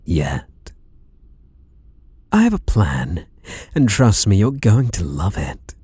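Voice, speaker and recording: A British man plays a masked slasher with an obsessive, possessive streak, made for intimate ASMR roleplay. He is close and dry, drawing his words out slowly. The pitch swoops widely as he teases and flirts with soft menace. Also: huge pitch swings, husky, no echo, exaggerated voice. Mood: teasing menace. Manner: teasing, softly menacing, drawn-out delivery with sudden swoops.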